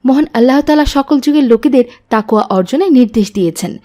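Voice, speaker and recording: A pious cartoon teacher voiced by a woman speaks in a fairly high voice. The delivery is formal, earnest and didactic, as in a scripted moral lesson. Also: animated, fast talker, dark tone. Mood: earnest, instructive. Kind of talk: scripted Islamic cartoon dialogue.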